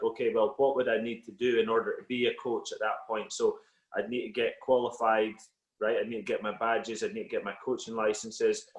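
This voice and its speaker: A Scottish man whose accent has softened a little, a football coach talking as a guest on a video call in a relaxed, reflective way with many fillers. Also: fairly low pitch, reverberant, low-quality recording. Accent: Scottish, slightly Americanised. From Scotland. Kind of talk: casual interview on a call.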